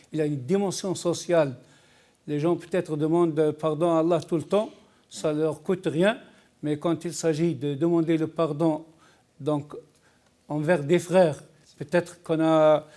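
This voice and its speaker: A man explaining religious teaching as a talk show guest, in a halting way that often repeats words. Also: on the high side, dark tone.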